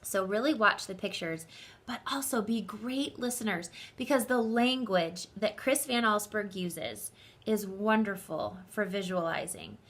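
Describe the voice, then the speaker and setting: A woman speaking as a teacher in an instructive, expressive children's read-aloud style, enunciating clearly. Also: mid-range voice, a little slow.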